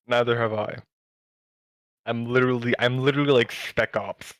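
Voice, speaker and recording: A man's voice: medium pitch, steady tone, normal speed, fairly bright tone, dry recording. A young man co-hosting a casual podcast, bantering and interjecting in a slangy way. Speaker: podcast co-host.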